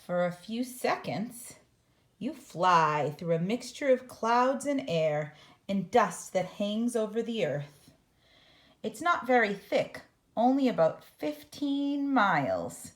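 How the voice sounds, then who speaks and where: A woman reading a children's picture book aloud in a measured, expressive storytelling manner. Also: medium pitch. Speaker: storybook reader.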